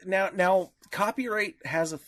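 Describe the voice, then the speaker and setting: A man with a Canadian accent in a casual podcast discussion, speaking haltingly with repeated words and restarts. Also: sits high, fairly bright tone, no room sound. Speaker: podcast co-host. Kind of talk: casual discussion of media law.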